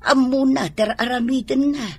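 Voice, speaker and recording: A woman playing a proud, contented wife and mother with a raspy voice and an Ilocano Filipino accent in a radio drama. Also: high-pitched, fairly even intonation, normal speed, no echo.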